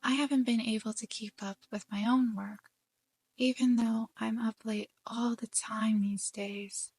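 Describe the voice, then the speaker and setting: A woman acts a drowsy young anime student, timid and worn out. Her voice is fairly high, soft and breathy, and she speaks slowly and hesitantly over music in a close, dry recording. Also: no echo, exaggerated voice, low-quality recording. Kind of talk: anime game fan voice-over. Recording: background music.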